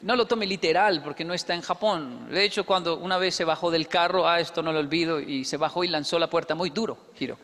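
A man with a Colombian accent speaks high in his range, holding a handheld mic on a large indoor stage. He tells lively stories to an audience and acts out the dialogue with quick asides. A long reverb from the hall trails after his words. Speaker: motivational speaker. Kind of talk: stage talk with storytelling. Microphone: handheld microphone.

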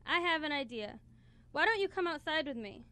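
Woman playing a bright, high-voiced plucky toy. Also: expressive, fairly quick. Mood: coaxing. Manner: coaxing, earnest, insistent. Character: kind, persistent, coaxing female toy, youthful and plucky. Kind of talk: student radio play acting. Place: college radio studio, live broadcast. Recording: clear background noise in radio studio.

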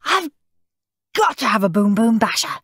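A woman voicing a demanding, bratty young boy with a whiny, loud manner in a children's storybook. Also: mid-range voice, big pitch swings, normal speed, slightly rough, no echo, studio quality, pitched above the performer's own voice, full character voice. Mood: insistent, pleading.

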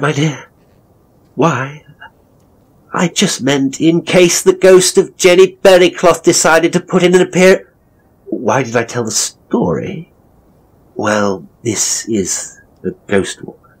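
A man playing a pompous, theatrical ghost tour guide, telling gruesome tales with suspenseful, drawn-out phrasing in a horror audio story. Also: fairly high pitch, breathy edge, pitched above the performer's own voice. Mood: affronted, defensive.

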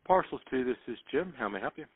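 A man's voice: mid-range voice, animated, rapid-fire, breathy edge, dry recording. A man working in customer service on a phone call, explaining things plainly and politely. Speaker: parcel shop worker taking a customer call. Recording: tinny phone line.

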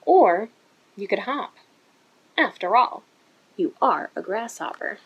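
A woman reading a children's picture book aloud in an expressive, deliberate way for young listeners, recorded indoors. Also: medium pitch, slightly rough, highs cut off. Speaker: children's story-time reader for a museum. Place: indoor room.

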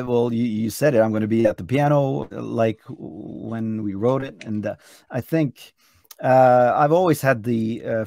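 A middle-aged man with a Norwegian accent, a bit hoarse, chatting eagerly in an interview over a video call with many restarts. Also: lowish voice, dark tone, dry recording, clean studio sound. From Norway. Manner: chatty, enthusiastic, frequent restarts. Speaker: singer and contest entrant. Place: hotel room indoors.

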